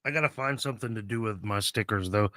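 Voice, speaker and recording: A man's voice: fairly low pitch, fast, bright, forceful tone, no echo. A man hosting a casual livestream, losing his voice, laid-back and laughing often. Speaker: livestream host. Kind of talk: casual chat.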